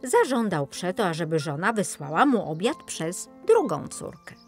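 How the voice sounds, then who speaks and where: A woman's voice: fairly low pitch, sing-song, normal speed, breathy edge, soft background music. A woman reading a children's fairy tale as a scripted audiobook narration.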